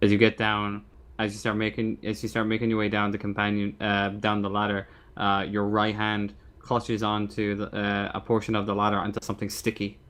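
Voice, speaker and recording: Lowish guy game mastering a tabletop rpg, halting, keeps repeating bits as he narrates. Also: monotone, fairly bright tone, clear, resonant tone. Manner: halting, repeats and rebuilds phrases.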